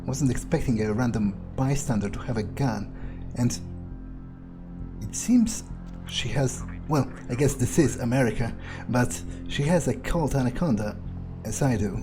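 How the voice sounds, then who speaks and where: A man plays a game in character, thinking aloud in a medium-pitched voice. His commentary is casual, dry and understated. Faint music runs behind him. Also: unhurried. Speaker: gamer playing in character.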